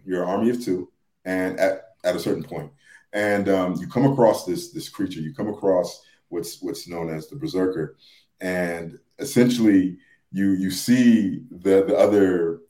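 A man talking casually about games as a podcast panelist, haltingly, often repeating and restarting his phrases. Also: low-pitched, warm tone. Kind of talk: casual gaming discussion. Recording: roomy, echoing sound.

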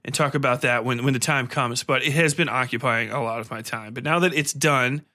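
A man's voice: medium pitch, a little fast, bright, forceful tone. A man hosting a solo podcast, talking casually in a profane, slangy, rambling way about films. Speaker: podcast host. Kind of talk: casual solo film review and rant.

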